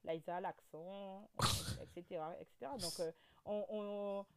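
A woman in her thirties with a Guadeloupean French accent, a guest telling her story in a casual interview, with lots of fillers, restarts and animated turns. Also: medium pitch, unhurried, clean tone. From French overseas territory. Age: 30s.

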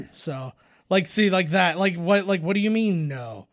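A man with a fairly high-set voice talks casually through a film. He thinks aloud, halting and restarting his sentences. The sound is very dry, with no room around it. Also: animated, no echo. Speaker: podcast co-host. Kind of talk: casual film commentary chat.

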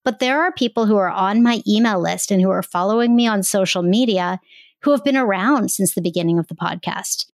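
A woman's voice: medium pitch, brisk, dry recording, clean studio sound. A woman guest on a podcast, talking enthusiastically about coaching music teachers. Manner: enthusiastic, explanatory. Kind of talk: casual interview conversation.

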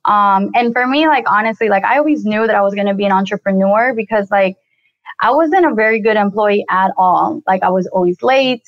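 A woman's voice: mid-range voice, a little fast, dry recording. A young woman from Colombia, talking as a podcast guest. Her talk is loose and chatty and dotted with "like" and "you know". The sound is dry and a bit muffled. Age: 20s. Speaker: podcast guest, real estate investor. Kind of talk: casual interview storytelling.

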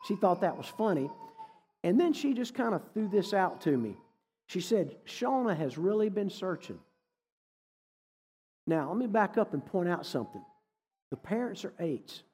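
A man's voice: high-pitched, a little mellow, breathy edge. A middle-aged man, telling a story as a guest speaker in a conversational sermon style. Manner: folksy, conversational storytelling with quoted dialogue. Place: church hall. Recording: echoey hall.

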